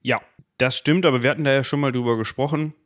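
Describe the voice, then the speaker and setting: A man's voice: mid-range voice, steady tone, fast talker, dry recording, studio quality. A man co-hosting a casual history discussion, talking colloquially with wry understatement. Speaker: history podcast co-host.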